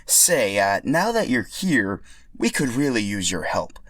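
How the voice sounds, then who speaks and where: A man's voice: medium pitch, big pitch swings, a little slow, a bit bright, no room sound. A man voicing a friendly, easygoing dinosaur sidekick in a storybook tale, speaking in a casual, upbeat way. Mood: helpful.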